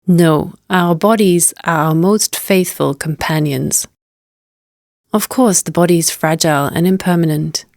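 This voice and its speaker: A woman guiding a meditation as a teacher, speaking in a gentle, unhurried and invitational manner. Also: on the low side, no echo, clean studio sound. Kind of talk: guided meditation narration.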